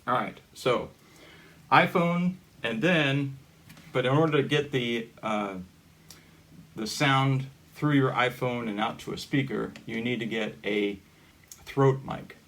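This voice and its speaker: A man presenting a hobby gear tutorial in a casual, unscripted way, with halting, rambling explanations. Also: mid-range voice, a bit bright. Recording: noticeable background noise with clicks.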